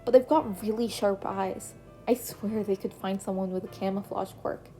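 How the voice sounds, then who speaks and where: A woman voicing a self-assured young male vigilante turned hero, talking breezily, with a mellow voice. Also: mid-range voice, fairly even intonation, moderate pace, faint music behind, lightly acted. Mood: breezy.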